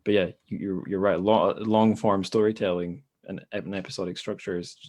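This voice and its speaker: A man with a fairly low voice hosts a relaxed podcast discussion. He talks tentatively, breaking off and restarting as he shapes his thought. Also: fairly quick. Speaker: podcast host. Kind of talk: casual discussion.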